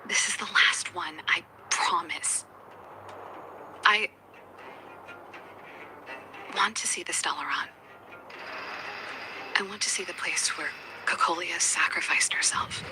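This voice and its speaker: An actress voicing a reflective, candid adult sister in a video game, with a breathy, husky voice and a measured, gently wry, confiding way of talking. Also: female voice, fairly low pitch, whispered, poor audio. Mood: reflective, resolved. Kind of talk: English video game voice acting.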